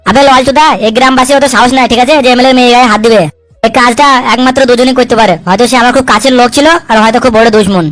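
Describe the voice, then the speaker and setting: A woman's voice: on the high side, fairly even intonation, rapid-fire, no echo, soft background music, natural voice. A woman voicing a foul-mouthed, hot-tempered male politician in a comic cartoon, coarse, bullying and full of threats. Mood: angry, threatening. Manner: coarse, swearing, hectoring with repeated tag questions. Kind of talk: comic cartoon dub dialogue.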